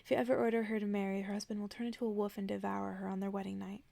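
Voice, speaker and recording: Woman softly reading as a stern, creaky-voiced solitary witch. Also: medium pitch, level tone, racing, no room sound, lightly acted. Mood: stern, cold. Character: solitary, severe, guarded cave-dwelling witch.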